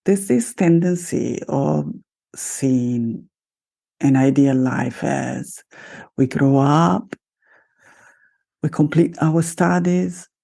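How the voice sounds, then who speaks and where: A woman's voice: low-pitched, creaky, dark tone, slightly rough. A middle-aged woman with an Italian accent, a podcast host and life coach talking alone to her listeners in an upbeat, proud manner. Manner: upbeat, self-celebrating, talks straight to listeners. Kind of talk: solo podcast monologue.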